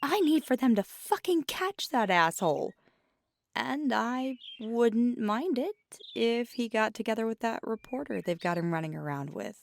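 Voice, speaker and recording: A woman playing a feisty, affectionate magical girlfriend in an ASMR roleplay, talking casually and often dropping to a breathy murmur. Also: on the high side, big pitch swings, measured pace, heavily acted. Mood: playful, animated. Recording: clear background noise with birdsong. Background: birdsong and outdoor ambience.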